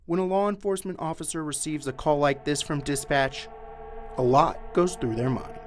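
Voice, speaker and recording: Higher-pitched American man reporting TV news. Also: normal speed, heavy background noise. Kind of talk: scripted narration.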